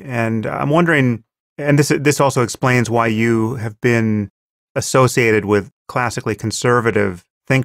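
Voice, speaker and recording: Calm male podcast host, dry sound. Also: mid-range voice, no room sound. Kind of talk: interview questions.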